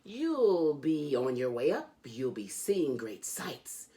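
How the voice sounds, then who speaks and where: Really low voiced lady doing kids read-along, slow pace, super expressive swoopy storytelling. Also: slight background noise. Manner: animated, sing-song storytelling. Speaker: host of a children's read-along show.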